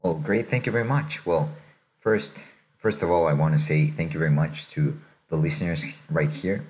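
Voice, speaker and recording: A young man from Mexico, co-hosting a podcast in casual chat, reflective and unhurried, often ending thoughts with "right?". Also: a bass voice, a little mellow. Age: young adult. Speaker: podcast co-host.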